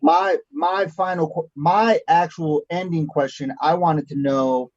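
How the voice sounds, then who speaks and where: A man co-hosting a podcast, asking a question haltingly with many filler sounds. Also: fairly high pitch, darkish tone, dry recording. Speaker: podcast co-host. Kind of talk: casual podcast conversation. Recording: dry, dull lo-fi sound.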